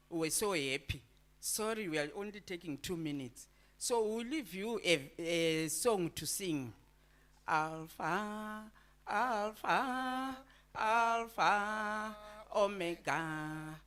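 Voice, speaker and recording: A woman visiting a church, speaking and leading call-and-response singing in slow, drawn-out phrases. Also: medium pitch, fairly bright tone.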